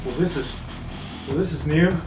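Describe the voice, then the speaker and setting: A man's voice: on the high side, moderate pace, far from the mic, natural voice. A man voicing a slick broker in a tabletop game, stammering and wheedling as he tries to talk his way out of danger. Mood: nervous pleading. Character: slick male broker nervously sweet-talking his way out of danger. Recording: echoey room, off mic, music underneath.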